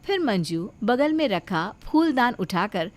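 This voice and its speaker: A woman's voice: fairly high pitch, big pitch swings, unhurried, a little hiss or hum. A woman narrating a scripted moral story as a storyteller.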